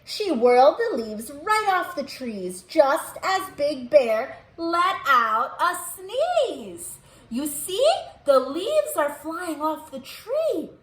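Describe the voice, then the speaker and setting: Very lively high-pitched woman reading to children. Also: slow pace. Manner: sing-song, addresses children with simple questions. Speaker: children's librarian hosting musical storytime. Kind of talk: animated read-aloud for young children. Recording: roomy echo.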